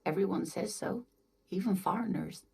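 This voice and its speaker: A woman voicing a kindly, scatterbrained older married woman in a video game, gentle and doting, with music behind her. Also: medium pitch, normal speed, creaky, husky. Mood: gentle, nostalgic. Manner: gentle, doting, hesitant, calls listener dear. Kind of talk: video game dialogue acting.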